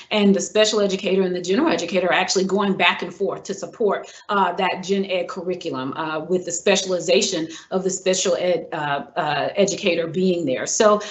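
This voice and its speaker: A woman answering interview questions as a superintendent candidate, in a measured, earnest way, often pausing on "uh". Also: on the low side. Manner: measured and earnest, many filler "uh"s. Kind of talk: formal job interview answers. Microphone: video call. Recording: dull lo-fi video call audio.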